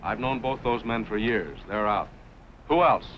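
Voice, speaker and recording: Steady cowboy pilot, played by a man, muffled vintage recording. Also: lowish voice, unhurried, noisy background, lightly acted. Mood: calm, purposeful. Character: steady, sharp-minded cowboy pilot and uncle. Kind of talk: old-time western radio drama acting. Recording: muffled vintage radio recording, loud music.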